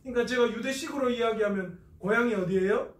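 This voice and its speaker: A man's voice: extremely high pitch, quick pace, echoey room, recorded from a distance. A man from North Gyeongsang, South Korea, preaching an expository Bible lecture as a pastor, asking questions and answering them himself.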